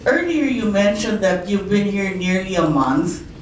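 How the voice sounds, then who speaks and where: Polite female reporter at a press event; heard from afar in a booming room. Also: animated, unhurried. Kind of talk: press conference questions. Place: press conference hall. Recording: very echoey distant room, faint noise.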